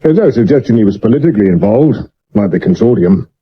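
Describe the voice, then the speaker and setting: A man acting a sober Victorian London police inspector with a British accent and a measured, deferential way of explaining, in a 1970s radio drama. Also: lowish voice, animated, a little hiss or hum, natural voice. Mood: grave, explanatory. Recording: dull medium-wave radio recording.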